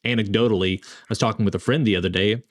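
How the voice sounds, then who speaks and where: Guy hosting a business podcast, lowish voice, really dry close sound. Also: steady tone, fast, dry recording. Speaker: podcast host interviewing a business owner. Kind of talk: conversational interview and scripted sponsor reads.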